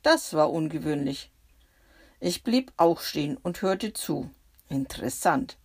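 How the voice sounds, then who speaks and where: A woman narrating a bible story for children in the first person, gentle and reflective. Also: sits low, animated, a little slow, breathy edge.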